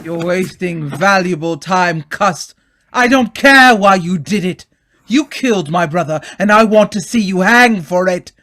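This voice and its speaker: Guy acting a stern angry gentleman, old radio drama, scornful, slow measured delivery, accusing everyone. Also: high voice, animated, full character voice. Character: stern, indignant gentleman demanding justice for his brother.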